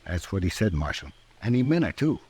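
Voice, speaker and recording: An actor playing an uneasy, decent frontier cowhand with an American accent and folksy rural speech in a radio western. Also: male voice, low voice, sing-song, a little fast, a little mellow, husky, exaggerated voice. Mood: uneasy, reluctant. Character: uneasy, decent frontier cowhand who rescues a lynched man. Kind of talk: old time radio western acting. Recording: noisy vintage radio drama recording.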